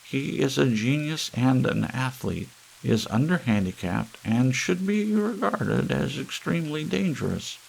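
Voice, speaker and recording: A man's voice: medium pitch, lively intonation, a little slow, gravelly, few pauses, husky, voice pushed up, heavily acted, rough recording. A man voicing a ballerina who reads an urgent news bulletin in a strained, squawking voice, in an audiobook story. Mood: alarmed, squawking. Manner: urgent, emphatic bulletin delivery. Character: ballerina news reader forcing a harsh grackle squawk.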